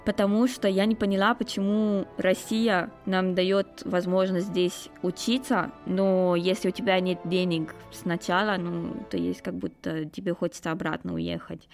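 A woman in her twenties with a Romanian accent answers interview questions conversationally, hesitantly searching for words as a non-native speaker. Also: medium pitch. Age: 20s. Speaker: interview guest, foreign student.

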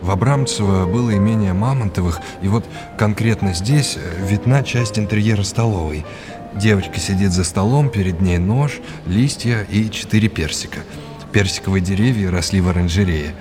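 A male actor as a courteous art historian in an audio drama, with a low voice. He explains things like a teacher and likes to quiz his listener. Music plays clearly behind him. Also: normal speed, natural voice. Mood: engaged, explanatory.

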